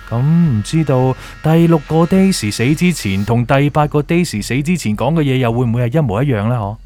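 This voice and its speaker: An actor playing a gloating, manipulative villain in an audio drama, with a Hong Kong Cantonese accent and taunting, drawn-out delivery. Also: male voice, mid-range voice, mellow, natural voice. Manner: theatrical, taunting, drawn-out emphasis, drops in English words. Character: gloating, manipulative villainous male official, mocking.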